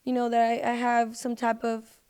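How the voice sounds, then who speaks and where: A woman's voice: a little high, monotone, moderate pace, darkish tone, smooth. A woman speaking as a podcast guest in casual, slangy conversation with lots of filler "like.". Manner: informal, slangy, frequent "like". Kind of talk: casual chat. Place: podcast recording.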